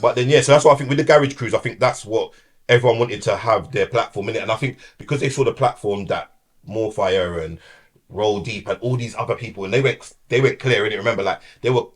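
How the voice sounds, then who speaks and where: Middle-aged man, rapid-fire podcast host, chatty. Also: fairly low pitch. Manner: fast, animated storytelling with repeated fillers. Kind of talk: casual chat.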